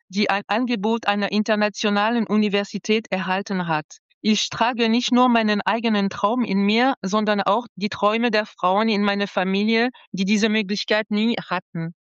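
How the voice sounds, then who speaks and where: A woman reading the translation of an interview in the first person, calm, earnest and deliberate. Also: medium pitch, smooth, no echo, dull audio. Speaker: voice-over translator.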